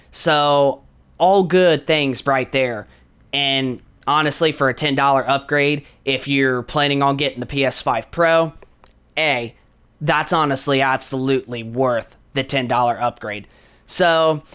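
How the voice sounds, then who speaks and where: A man with a slightly hoarse voice from a sore throat, hosting his channel with a casual, rambling game review that leans on "honestly" and "definitely". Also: mid-range voice, fairly bright tone.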